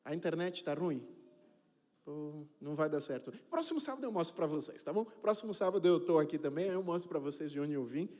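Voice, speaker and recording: A man's voice: on the high side, animated, a little fast, darkish tone. A man in his thirties, originally from Cape Verde, introducing himself as the new pastor to his congregation in a chatty, joking way, often checking in with his listeners. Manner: chatty and joking, tags sentences with "né?" and "tá bom?". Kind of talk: informal self-introduction to a congregation. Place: church sanctuary. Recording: reverberant church hall.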